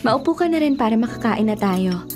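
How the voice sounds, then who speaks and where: A woman's voice: fairly high pitch, animated, a little fast, over music, lightly acted. A woman in a radio drama playing a sweet, adoring young girlfriend with a gushing, affectionate manner.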